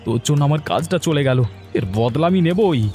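A man's voice: a little high, animated, normal speed, a little mellow, faint music behind, close to an everyday voice. A man playing a young, quarrelsome and resentful village son in a cartoon moral story, with a pushy, argumentative manner. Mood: angry, defiant.

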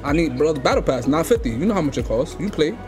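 Young guy w a high voice pranking people on the phone, pushy, slangy, music playing behind him. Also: big pitch swings, quick pace. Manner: pushy, demanding, streetwise slang, bursts into laughter. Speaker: streamer making prank calls. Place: home streaming room, indoors. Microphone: headset.

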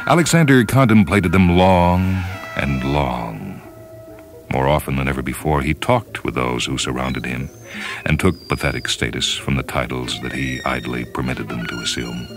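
Very deep-voiced man narrating solemnly. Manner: measured, solemn, deliberate phrasing. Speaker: narrator reading short fiction. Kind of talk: dramatic scripted story narration. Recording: music under the voice. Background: orchestral piano music.

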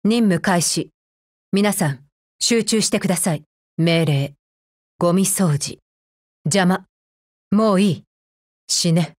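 A woman's voice: lowish voice, takes it slowly, pauses often, a little mellow, no echo, clean studio sound. A woman playing a cold, efficiency-minded female combat android in a mobile game, speaking formally in a clipped, stern, unemotional way. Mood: curt, commanding. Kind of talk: scripted mobile game character voice lines.

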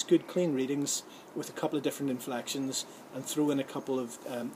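A middle-aged man giving informal advice to camera in a halting, conversational way, recorded outdoors. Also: medium pitch, a little mellow, rough recording. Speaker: host giving voice-over advice. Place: outdoors in a sunny garden or patio. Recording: outdoor ambient background noise.

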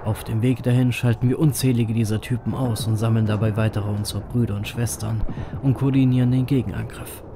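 A man playing a brooding reaper who narrates his horror story in a measured, somber way. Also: medium pitch, steady tone, noisy background, natural voice. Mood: somber tension. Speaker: first-person narrator. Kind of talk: scripted dramatic narration, German audio drama.

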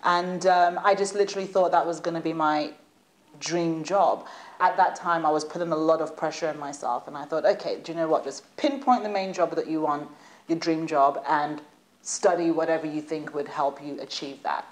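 A woman, a guest in a studio interview, telling stories in an animated, chatty way and laughing at times. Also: low-pitched, normal speed. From United Kingdom. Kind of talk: casual interview chat.

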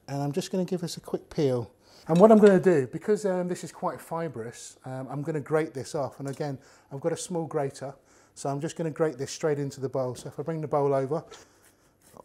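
A man, his voice sitting a little high for a man. He chats easily while chopping and grating in a home kitchen, talking straight to the viewers. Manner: chatty, relaxed, talks while working with his hands. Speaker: cooking show host. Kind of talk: casual cooking demonstration to camera.

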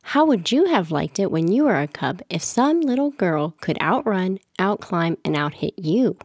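A woman voicing a gentle, sensible mother bear in a storybook, speaking in a measured, earnest way. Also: on the low side, very animated, slightly rough, no room sound, clean studio sound, exaggerated voice. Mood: reasoning, firm. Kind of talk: storybook read-aloud character voice.

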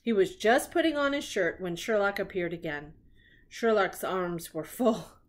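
Animated middle-aged woman reading a storybook. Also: medium pitch, measured pace. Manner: expressive, chatty asides to young listeners. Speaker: grandmother reading stories on her own show. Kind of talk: children's storybook read-aloud with asides. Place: living room at home.

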